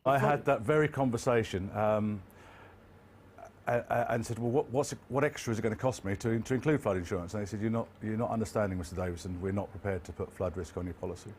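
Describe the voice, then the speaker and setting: A man's voice: fairly low pitch, fast talker, darkish tone, a little hiss or hum. A British man giving a casual account as an interviewed householder. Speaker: interviewed flood victim, householder.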